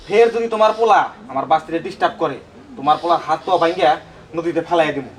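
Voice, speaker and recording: A man's voice: on the high side, lively intonation, very fast, far from the mic, a little hiss or hum, natural voice, poor audio. A man playing a menacing village uncle who threatens violence in a drama. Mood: threatening.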